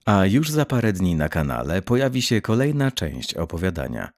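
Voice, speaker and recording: Deep gravelly male storyteller. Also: very animated, normal speed. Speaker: storyteller, narrator. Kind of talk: scripted dramatic narration of dark fiction.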